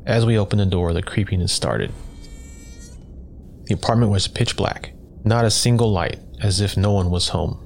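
A man reading a first-person scary story as a scripted narration, in a measured, matter-of-fact way. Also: lowish voice, lively intonation, darkish tone. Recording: clear background noise.

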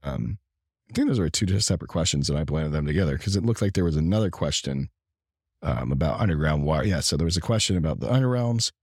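A man co-hosting a casual gaming livestream, talking in a halting, rambling way as his voice gives out. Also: a bass voice, expressive, breathy edge, no room sound, studio quality. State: losing his voice. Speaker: livestream and podcast co-host.

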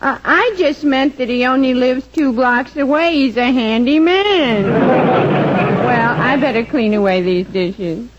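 Radio comedy maid: American, drawling, droll, slow, muffled vintage sound. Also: female voice, sits high. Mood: coy. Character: droll, man-hungry young housemaid. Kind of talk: 1940s radio sitcom acting. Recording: muffled, very noisy old radio recording.

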